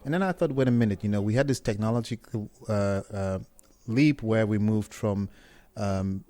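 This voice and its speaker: A middle-aged man from Cameroon, a startup co-founder talking casually as an interview guest, relaxed and often restarting his words. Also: fairly low pitch, normal speed, dry recording.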